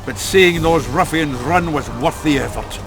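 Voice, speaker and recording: An actor playing a gruff, bawdy dwarf warrior in a video game, blustery, salty and jocular. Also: male voice, on the high side, normal speed, music in the background, natural voice. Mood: boastful.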